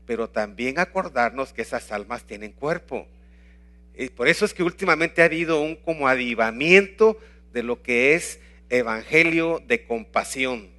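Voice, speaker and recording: Bright-voiced Guatemalan man teaching. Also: medium pitch. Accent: Guatemalan Spanish. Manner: earnest, emphatic preaching with rhetorical questions. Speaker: pastor and evangelism teacher. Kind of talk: teaching talk with slides.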